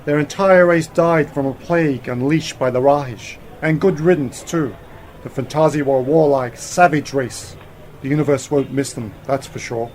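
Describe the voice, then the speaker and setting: A man's voice: medium pitch, normal speed, darkish tone, close to an everyday voice. Man playing assured scientist uncle, noisy recording. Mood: explanatory. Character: brilliant, confident male space-travelling scientist uncle. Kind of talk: radio drama acting. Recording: noticeable background noise.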